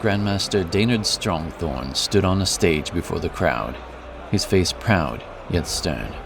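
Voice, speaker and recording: A man's voice: deep voice, animated, takes it slowly, vocal fry, noisy background. A man narrating a scripted fantasy story. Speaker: audiobook narrator. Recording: music behind the voice. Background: music.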